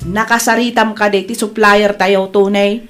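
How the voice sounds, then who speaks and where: A woman's voice: mid-range voice, level tone, moderate pace, fairly bright tone, soft background music, lightly acted. A woman with an Ilocano accent playing a fretful, exasperated wife with a failing business and debts, in an audio drama. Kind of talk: amateur audio drama acting.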